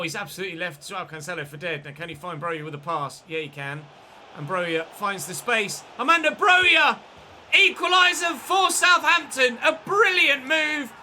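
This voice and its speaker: A man commentating his football video game in a chatty way, breaking into excited shouts at big moments. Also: high voice, a little hiss or hum. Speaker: gaming channel host.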